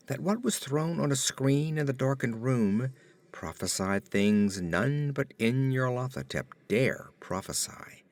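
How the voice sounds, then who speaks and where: A man reading a horror story as an audiobook narrator, with theatrical, deliberate phrasing. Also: medium pitch.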